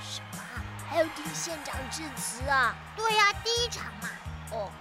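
A very high, childlike cartoon voice, full of excitement. It belongs to an educational radio drama for children on old cassette, with loud children's music playing behind. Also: moderate pace. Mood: curious, explaining.

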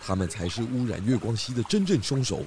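An actor playing a calm, clever male pig detective in a children's audio drama. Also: mid-range voice, fast talker, slight background noise, close to an everyday voice. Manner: steady, knowing.

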